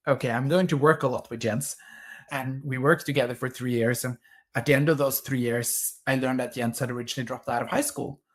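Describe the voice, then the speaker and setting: A Norwegian man speaking English with a Norwegian accent as an interview guest, telling stories eagerly with some stammered restarts. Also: mid-range voice. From Norway. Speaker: co-founder guest. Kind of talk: conversational interview answers.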